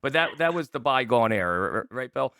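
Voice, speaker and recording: An older male host with a very animated voice that swings up and down, recorded close and dry. He chats casually and rambles his way into questions. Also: mid-range voice, fast talker, clear, resonant tone, dry recording. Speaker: interview show host. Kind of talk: casual chat interview.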